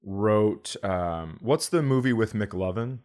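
Sardonic man with a lowish voice. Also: animated, slow pace, a little mellow. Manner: sardonic, sweary. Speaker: comedy podcast co-host. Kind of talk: casual comedic banter.

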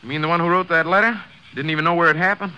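An actor playing a hot-headed, defensive young deputy with a curt, combative manner in a 1950s western radio drama. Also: male voice, fairly high pitch, steady tone, very fast, sharp tone, clear, resonant tone, poor audio. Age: young adult. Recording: old radio recording.

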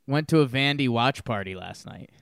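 A man chatting casually about football on a podcast, meandering and filler-heavy. His voice is smooth and clear at an average pitch. The sound is close and dry, with no room echo. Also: lively intonation, moderate pace, a bit bright. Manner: rambling, with fillers and laughs. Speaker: podcast co-host. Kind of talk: casual sports chat.

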